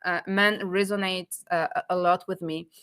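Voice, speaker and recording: A woman's voice: fairly low pitch, fairly even intonation, normal speed, a bit bright, smooth. A woman from France hosting a podcast, speaking with a French accent in an enthusiastic, encouraging way. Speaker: host. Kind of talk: conversational podcast interview.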